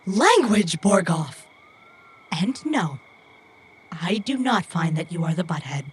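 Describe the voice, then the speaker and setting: Slow-talking, stilted, earnest young runner. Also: female voice, fairly low pitch, sing-song, slightly rough, heavily acted. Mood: indignant. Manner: formal, stilted, precise phrasing without contractions. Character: earnest, literal-minded young female runner, childlike and stubborn. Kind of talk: audio drama acting.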